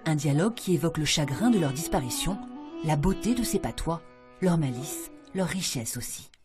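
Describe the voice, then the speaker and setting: A woman, reading a scripted news voice-over in a measured, polished way. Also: low voice, lively intonation, music in the background. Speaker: news reporter.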